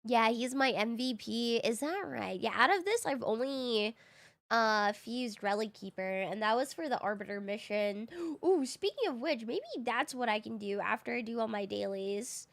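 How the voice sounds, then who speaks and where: A woman game streamer chatting casually with her viewers while she plays, slightly raspy from just waking up, easygoing and quick to laugh. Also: a little high. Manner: chatty and easygoing, laughs often, thinks aloud. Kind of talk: casual live-stream chat, answering viewers.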